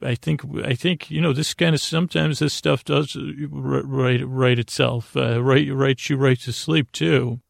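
A man hosting a bedtime podcast in a creaky, lulling voice, rambling haltingly and trailing off into long-winded tangents. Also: medium pitch, fairly even intonation, no room sound, studio quality. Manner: lulling, soothing delivery. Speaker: podcast host.

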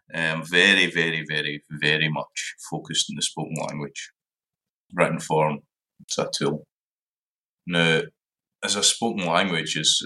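A man's voice: a bass voice, bright, forceful tone, rough recording. A man with a Scottish accent, a guest in a podcast interview, talking animatedly and repeating words as he thinks aloud. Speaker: language centre director, guest.

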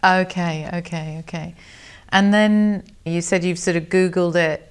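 A woman's voice: lowish voice, fairly even intonation, a little slow, clear, resonant tone. A female host asks a guest about a poem in an encouraging way. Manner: warm, encouraging interviewer. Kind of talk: conversational interview.

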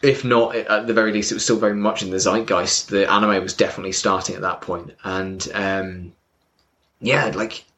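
A man's voice: fairly low pitch, steady tone, moderate pace, fairly bright tone. A man in casual, informal conversation, explaining things as a co-host, with some wry humour, recorded on a built-in microphone. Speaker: podcast co-host, manga fan explaining to newcomer. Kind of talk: casual chat.

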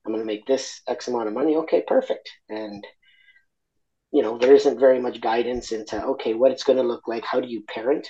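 Man from Argentina, podcast guest, reflective and halting, slightly dull lo-fi audio. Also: medium pitch, warm tone. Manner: reflective, halting, many fillers and restarts. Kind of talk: candid interview conversation.

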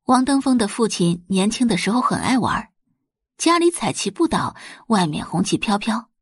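A woman's voice: mid-range voice, very animated, moderate pace, husky, no room sound, clean studio sound. A woman narrating a scripted audio drama in a dramatic storytelling style.

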